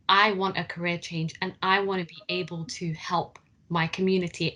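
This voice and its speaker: Young woman, bright tone, earnest, encouraging, panel speaker. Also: lowish voice. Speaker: council apprentice speaker. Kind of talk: panel talk to students.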